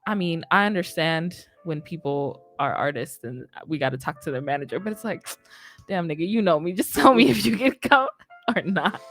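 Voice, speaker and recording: Low-voiced young woman hosting. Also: animated, moderate pace, fairly bright tone. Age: young adult. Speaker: radio show host interviewing an artist. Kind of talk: casual chat interview. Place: small indoor radio studio. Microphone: close studio mic on boom arm, headphones. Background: music playing.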